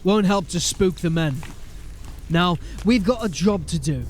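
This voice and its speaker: A man acting a gruff, authoritative sergeant of a city watch in a fantasy audio drama, with clipped speech. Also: sits high, animated, a little slow, soft background music. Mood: commanding.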